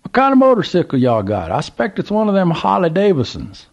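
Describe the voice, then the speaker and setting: A man voicing an excitable, toothless old Southern man who drawls and exclaims in a high voice. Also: a little fast, creaky, muffled recording, full character voice. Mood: excited.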